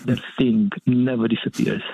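A man's voice: mid-range voice, little pitch movement, normal speed, gravelly, dark tone, a little hiss or hum. A man from Romania, a monk and priest speaking as a guest, thoughtful and often laughing as he talks. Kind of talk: casual phone interview. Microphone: phone. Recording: dry tinny phone line.

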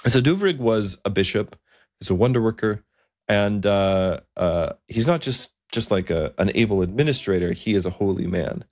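A man's voice: lowish voice, lively intonation, moderate pace, a little mellow. A man hosting a podcast, telling historical stories in an earnest, explanatory, partly scripted way. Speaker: podcast host. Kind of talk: semi-scripted historical storytelling.

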